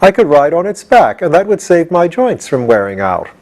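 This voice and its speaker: A man's voice: a little high, normal speed, voice pushed up. A man voicing a cheerful, naive pumpkin-headed wooden man from a storybook, curious and placid. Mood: calm. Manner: curious, literal, calm questioning.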